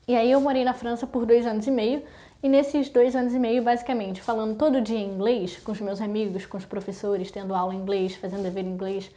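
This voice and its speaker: A young woman from Brazil talking casually to her viewers, listing things in quick chatty runs. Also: a little high, fairly even intonation, mellow, highs cut off. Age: young adult. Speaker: vlogger. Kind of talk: casual talk to camera.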